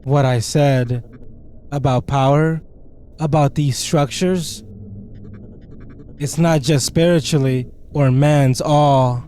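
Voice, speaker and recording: A solemn, enigmatic man from a visual novel, acted out by a male player. The voice sits low and moves slowly. Each phrase is weighted with grave drama. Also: steady tone, mellow, a little hiss or hum, close to an everyday voice. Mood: solemn, awed.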